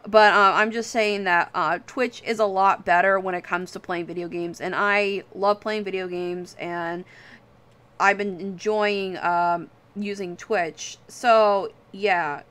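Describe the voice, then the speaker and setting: A woman's voice: mid-range voice, fairly even intonation, slow pace, a bit bright, clear, resonant tone. A woman livestreamer chatting casually while she draws, rambling and self-deprecating, with casual swearing. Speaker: livestream host.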